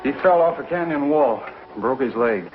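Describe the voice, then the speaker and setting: A man's voice: mid-range voice, lively intonation, normal speed, close to an everyday voice. An actor playing a plain-spoken, folksy pioneer man with an urgent manner in a 1950s television western. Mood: urgent, reassuring. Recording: muffled 1950s TV soundtrack, loud music.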